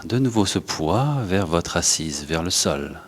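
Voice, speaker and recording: A man leads a guided yoga and breathing class, giving unhurried instructions in short phrases with pauses. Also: low voice, expressive, a little hiss or hum. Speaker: yoga teacher.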